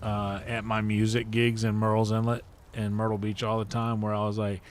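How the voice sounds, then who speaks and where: A man's voice: on the low side, completely flat delivery, normal speed, a little hiss or hum. A man hosting a game livestream, chatting casually and rambling as he thinks aloud and mutters to himself. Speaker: livestream host and gamer. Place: indoor livestream setup.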